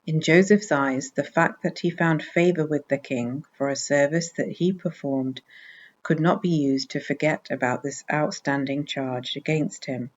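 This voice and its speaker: A woman reading a scripted religious study in a measured, formal way. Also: low-pitched. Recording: dull, muffled lo-fi recording.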